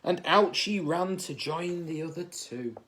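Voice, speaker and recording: A man reading a children's story aloud as a teacher would, in expressive narration. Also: fairly high pitch, unhurried, a little hiss or hum. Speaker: assistant head teacher reading a bedtime story.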